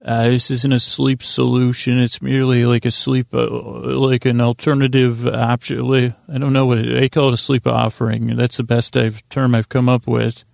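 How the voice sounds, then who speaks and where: A man hosting a sleep podcast in lulling, soothing tones, meandering and halting through a gently self-deprecating monologue. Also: mid-range voice, level tone, vocal fry, no echo, clean studio sound. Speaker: podcast host.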